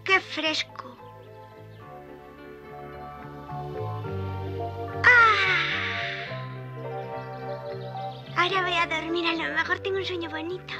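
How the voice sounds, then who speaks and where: Very high-pitched childlike girl bee. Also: fairly even intonation, a little fast. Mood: relieved, pensive. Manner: exclamatory, childlike. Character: small naive, excitable young girl bee. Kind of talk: classic children's cartoon dubbing. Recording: loud music behind the voice.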